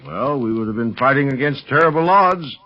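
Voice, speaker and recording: A cautious, worried doctor, acted by a man in a 1930s radio drama. His husky voice rises and falls expressively, and the aged recording sounds dull. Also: mid-range voice, animated, a little fast, lightly acted. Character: anxious, thoughtful male doctor companion. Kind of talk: 1930s radio serial acting.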